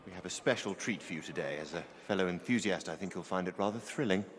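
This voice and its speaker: An actor playing a courteous, commanding aristocratic music patron in a period television drama, gracious and formal. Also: male voice, deep voice, fast, a little hiss or hum, lightly acted. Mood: gracious, eager. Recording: long reverberant hall sound.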